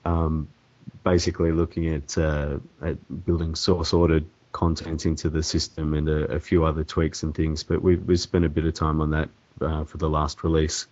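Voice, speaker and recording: A man with a cold and a croaky, hoarse voice, answering interview questions hesitantly with many ums and restarts. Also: very deep voice, little pitch movement, moderate pace, a little mellow. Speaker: template developer guest. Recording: dull lo-fi audio.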